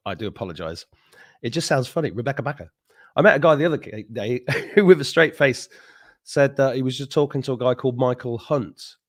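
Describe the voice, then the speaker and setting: A middle-aged Englishman hosts a live stream in a relaxed, rambling chat. He jokes and laughs often as he goes, trailing off into loose asides, in a voice of middling pitch. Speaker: host. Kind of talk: casual live-stream chat, greeting viewers.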